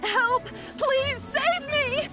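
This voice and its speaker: A woman's voice: extremely high pitch, sharp tone, faint music behind, heavily acted. A woman voicing an earnest, polite young female scholar in a video game, a little flustered and apologetic. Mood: panicked. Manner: polite, apologetic, slightly halting. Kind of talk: video game character acting.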